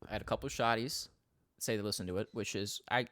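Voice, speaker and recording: A young man chatting casually as a podcast co-host, rambling quickly and laughing often. His voice sits a little low, recorded dry and close on a studio mic. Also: fairly bright tone, no room sound. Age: young adult. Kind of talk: casual chat.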